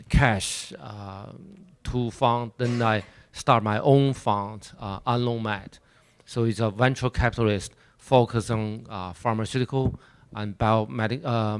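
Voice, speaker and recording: A man from China introducing himself as a venture capitalist on a conference panel, speaking haltingly with many fillers. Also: on the low side, clear, resonant tone.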